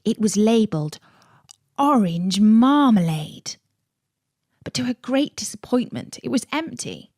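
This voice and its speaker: A woman's voice: fairly high pitch, sing-song, measured pace, darkish tone, no echo, clean studio sound. A woman reading a children's story as a scripted narration.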